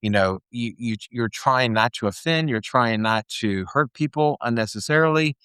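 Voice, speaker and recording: A man co-hosting a podcast chats in a rambling, self-mocking way. His voice sits at a middling pitch. The recording is dry and close to the mic. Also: expressive, moderate pace, fairly bright tone, clean tone, no room sound. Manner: chatty, rambling, self-deprecating. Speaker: podcast co-host. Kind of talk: casual podcast chat.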